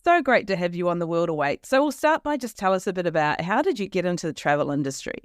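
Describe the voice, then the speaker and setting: A woman with an Australian accent hosting a travel podcast, talking with lively enthusiasm and frequent restarts. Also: fairly low pitch, quick pace, dry recording, clean studio sound. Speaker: podcast host. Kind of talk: casual interview chat.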